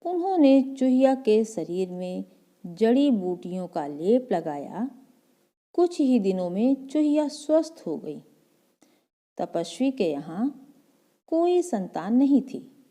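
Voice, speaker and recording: A woman narrating a scripted moral tale for children in a measured, expressive storytelling manner. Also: fairly high pitch.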